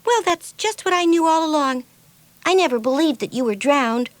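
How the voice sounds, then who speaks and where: A woman reads the part of a wild, cheerful little girl in a storybook, high-pitched and bursting with eagerness. She exclaims everything, and the sound is dry and close. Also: expressive, normal speed, no room sound. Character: wild, cheerful, super-strong young girl. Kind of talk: storybook reading.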